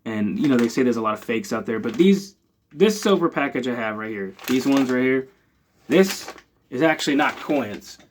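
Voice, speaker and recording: A man's voice: mid-range voice, moderate pace, slight background noise. A man, chatting casually as he unboxes items for his channel, rambling with lots of fillers. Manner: rambling, filler-heavy, relaxed. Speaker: channel host.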